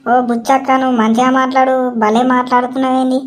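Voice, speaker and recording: A woman's voice: high-pitched, level tone, quick pace, close to an everyday voice. A woman playing an exasperated, no-nonsense village shopkeeper in a cartoon comedy, scolding and insistent, with a Telangana Telugu accent. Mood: indignant.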